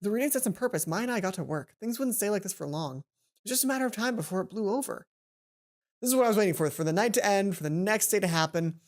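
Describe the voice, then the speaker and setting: A woman hosting a let's play, reading a visual novel aloud and adding chatty, informal, animated asides. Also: medium pitch, fast talker. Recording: music behind the voice. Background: game music.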